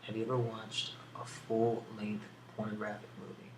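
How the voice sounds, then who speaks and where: A soft-spoken man answering questions in a casual ASMR style, with a hesitant, musing delivery and pauses between thoughts. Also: fairly low pitch, completely flat delivery. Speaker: ASMR video creator answering a question tag. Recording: roomy echo with faint background hiss.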